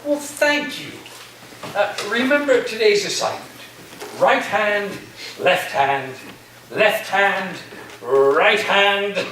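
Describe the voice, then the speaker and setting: A man in a staged play reading, playing an eccentric philosophy professor with a halting, whimsical, theatrical delivery. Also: high voice, expressive, pushed and bright, heavily acted. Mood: instructive, scattered. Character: eccentric male philosophy professor full of wild conspiracy theories. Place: reverberant room, staged reading before listeners. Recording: distant echoey room recording, faint noise.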